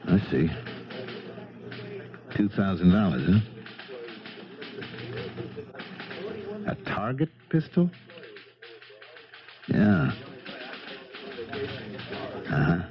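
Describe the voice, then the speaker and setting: An actor in a 1970s radio drama playing a businesslike police lieutenant with a clipped, matter-of-fact manner. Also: male voice, low voice, very animated, slow, pauses often, music in the background, exaggerated voice. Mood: brisk, intent. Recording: muffled 1970s radio recording.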